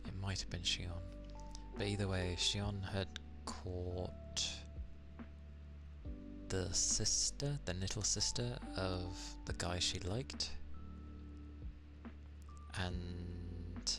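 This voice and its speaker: Low gravelly English man, soft-spoken. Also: fairly even intonation, slow, long pauses, over music. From England. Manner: soft-spoken, drifts into whispers. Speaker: streamer. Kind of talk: casual ASMR stream chat.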